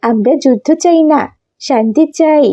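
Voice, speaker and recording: A woman voicing an eager, emphatic little refugee girl in a cartoon story, excited to share news. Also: high voice, normal speed. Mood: excited, proud.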